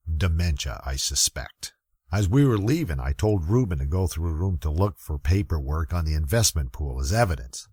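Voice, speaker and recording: A man playing a weary, gruff, middle-aged police lieutenant, narrating a radio murder mystery with wry, folksy grumbling in a gravelly voice. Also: very deep voice, darkish tone, dry recording, studio quality, heavily acted. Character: gruff, weary old-school male police lieutenant narrating hardboiled detective story.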